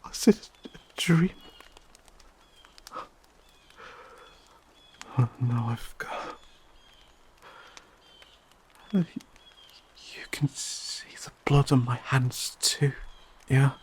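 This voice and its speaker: A performer voicing a shaken, emotional fantasy companion in an audio roleplay, speaking haltingly with stammers and whispers. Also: mid-range voice, husky. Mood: stunned, fearful. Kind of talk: dramatic audio roleplay addressed to listener.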